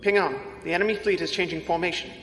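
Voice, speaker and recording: Man acting quick, high-voiced dutiful aide. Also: fairly even intonation, a bit bright, soft background music. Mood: alert, dutiful. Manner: formal, precise reporting. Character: dutiful, formal male aide serving his mistress. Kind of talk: audio drama acting. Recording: echoey reverb, faint noise.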